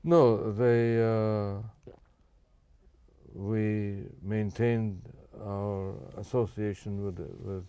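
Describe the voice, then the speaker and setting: An older man born in Japan, answering interview questions in a measured, careful way with frequent fillers and restarts. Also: fairly low pitch, steady tone, mellow, smooth, no echo. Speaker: guest.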